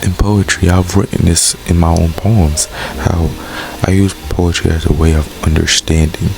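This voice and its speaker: A man's voice: low voice, little pitch movement, normal speed, vocal fry. A young American man talking earnestly and candidly about his life, repeating phrases for emphasis. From United States. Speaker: vlogger and poet. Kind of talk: reflective personal monologue. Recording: background music.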